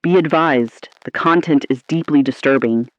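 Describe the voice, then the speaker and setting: A man playing an ominous, appalled 1950s radio anchor, delivering scripted lines in a grave, deliberate, theatrical style. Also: mid-range voice, lightly acted, poor audio. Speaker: radio host.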